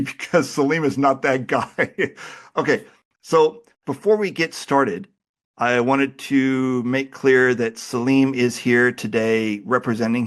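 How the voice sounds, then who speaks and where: A man hosting a podcast while he has a cold, talking in a relaxed, chatty and self-deprecating way. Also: mid-range voice. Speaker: podcast host. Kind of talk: casual interview chat.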